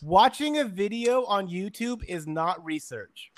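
A man's voice: high voice, animated, a little slow. A man. He talks casually and slips into mock-dramatic reading, with a sarcastic, exasperated edge and loose swearing. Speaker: podcast guest reading fliers aloud.

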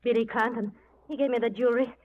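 An actress as a young saloon woman of the Old West, high-pitched and nervous. Her lines falter and plead in 1940s radio drama style. The recording is dull and noisy. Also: fairly even intonation, fast, lightly acted. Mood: frightened, confessing. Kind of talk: 1940s radio western acting.

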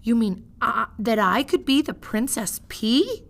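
Simple storybook serving girl, played by a woman reader: young, loud, gullible, rustic, pitch leaping about. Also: lively intonation, unhurried. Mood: eager, wishful. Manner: rustic, uneducated phrasing, exclaiming loudly.

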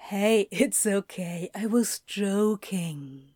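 A woman voicing an easygoing, teasing male yoga teacher in audio fiction, gentle, reassuring and playful. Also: medium pitch, extremely slow, no room sound. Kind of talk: audio fiction character acting.

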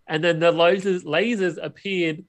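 A man's voice: sits high, fairly even intonation, normal speed. A man co-hosting a podcast, talking casually and conversationally about films. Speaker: podcast co-host. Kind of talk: casual film chat.

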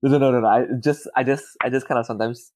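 A man's voice: mid-range voice, steady tone, rapid-fire, a little mellow, no room sound. A young man in his twenties from Malaysia, answering interview questions in a hesitant, modest way and often restarting his words. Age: 20s. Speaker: guest.

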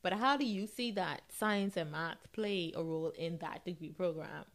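Woman, slightly low-pitched, radio host, casual interviewing, affirming manner. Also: normal speed. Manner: affirming, murmurs agreement often.

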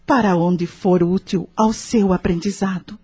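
Woman acting a mellow, husky Brazilian spirit mentor. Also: on the low side, steady tone, faint music behind. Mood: reassuring. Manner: measured, reassuring, didactic. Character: patient, wise female spirit mentor, consoling and instructive. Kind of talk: Brazilian radio drama acting.

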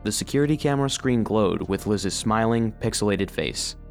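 An actor playing an earnest, sensitive household robot who narrates his own story for children in an expressive, heartfelt way. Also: lowish voice, normal speed, vocal fry, faint music behind. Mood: suspenseful. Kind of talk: scripted first-person storybook narration for children.

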